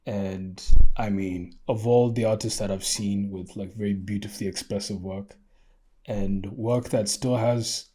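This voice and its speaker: A man's voice: lowish voice, warm tone, slightly rough. A man hosting an interview, asking his guest questions in a hesitant, friendly way with many fillers. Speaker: podcast host.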